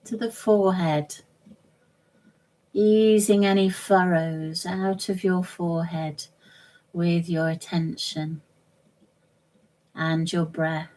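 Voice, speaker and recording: Very slow, gentle woman guiding meditation. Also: on the low side, fairly even intonation, poor audio. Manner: gentle, measured, soothing, short phrases with long pauses. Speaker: yoga nidra instructor. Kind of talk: guided meditation, relaxation instruction.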